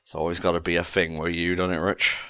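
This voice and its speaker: Deep-voiced man playing a game. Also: level tone, fast, a bit bright, soft background music. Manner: easygoing, teasing, talks to himself as he plays. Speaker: player. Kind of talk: casual let's-play chat.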